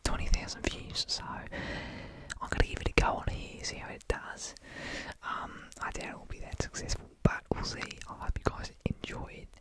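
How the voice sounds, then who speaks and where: A young adult whispers a hesitant, self-deprecating ASMR ramble in a put-on Australian accent with a slight New Zealand tinge. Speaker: ASMR video creator.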